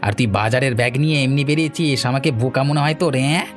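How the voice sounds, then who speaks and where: A man's voice: medium pitch, very fast, faint music behind, close to an everyday voice. A man playing a bullying henchman of a village boss in a cartoon, with a taunting, threatening manner. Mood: sneering. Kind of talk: Bengali cartoon story acting.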